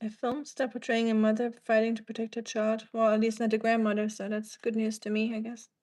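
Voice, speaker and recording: A woman from Germany talks in a German-accented voice that sits a little high. She chats on her livestream in a rambling, teasing way, drifting between asides as she answers viewers. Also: completely flat delivery, brisk, a little mellow.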